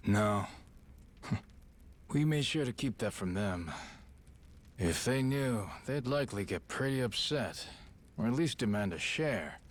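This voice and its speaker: A man playing a frank, weary middle-aged yakuza boss in a video game, talking in gruff, matter-of-fact slang. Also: medium pitch, expressive, measured pace, long pauses, lightly acted. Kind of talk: video game cutscene acting. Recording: loud music behind the voice.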